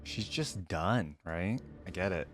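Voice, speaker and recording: A man's voice: low voice, takes it slowly. A man hosting a reaction video, commenting casually in short remarks and musing aloud. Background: music from the watched video.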